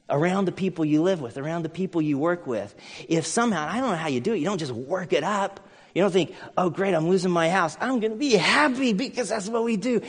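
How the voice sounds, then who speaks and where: A man's voice: fairly high pitch, husky. A middle-aged American man preaching a sermon as a pastor, earnest and conversational, mixing scripture with personal stories. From United States. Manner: earnest, conversational, with storytelling asides. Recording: long hall reverb.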